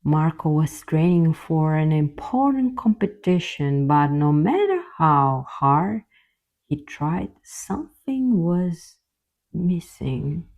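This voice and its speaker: A woman narrating a scripted children's fairy tale as the storyteller, in a gentle, measured way. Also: low-pitched.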